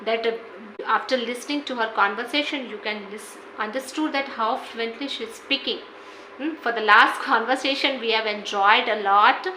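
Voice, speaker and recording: A woman's voice: a little high, normal speed, pushed and bright. A woman host opening her channel's video with an upbeat, teacher-like greeting to viewers. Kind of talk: video introduction. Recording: echoey room with faint noise.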